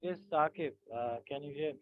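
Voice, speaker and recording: A man teaching physics in an online class, halting with many fillers and often checking that students follow. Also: a little high. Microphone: video call. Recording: tinny dry call audio.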